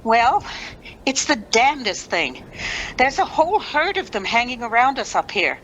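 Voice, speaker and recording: A woman's voice: on the high side, sing-song, moderate pace, a bit bright, lo-fi sound, slight background noise. A woman playing a chatty, businesslike rescue officer making radio reports in a radio play. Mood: curious, puzzled. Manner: businesslike yet folksy.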